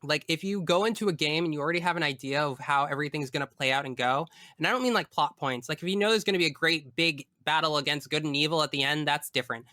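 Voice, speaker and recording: Bright, clear-voiced man chatting enthusiastically. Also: fairly high pitch, quick pace, hardly any pauses, no echo, clean studio sound. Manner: emphatic, enthusiastic, expressive. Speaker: guest; tabletop game master. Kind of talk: casual interview chat about personal experience.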